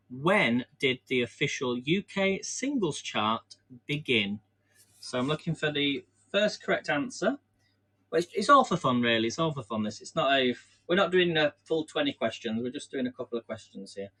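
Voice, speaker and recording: A rambling, good-humoured English man hosts a live stream from his kitchen, speaking in a slightly higher than usual voice for a man. He chats loosely between tasks, laughs at himself often and keeps things informal. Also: fairly bright tone. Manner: chatty, rambling, self-deprecating, laughs often. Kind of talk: casual live-stream chat while baking. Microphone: camera on a stand.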